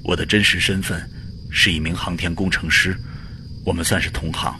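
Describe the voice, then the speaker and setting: A man acting a desperate middle-aged aerospace engineer in a radio drama, pleading emotionally and haltingly for his child. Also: deep voice, steady tone, sharp tone, slight background noise. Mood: earnest, polite.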